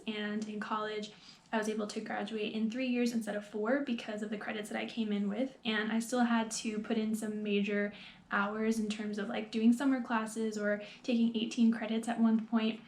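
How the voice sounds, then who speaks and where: A woman's voice: medium pitch, darkish tone. A young woman, talking earnestly to the camera in a personal vlog. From New York, USA. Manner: earnest, reflective, quick and talkative. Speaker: PhD student vlogger. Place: small room with plain wall, indoors. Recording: echoey room.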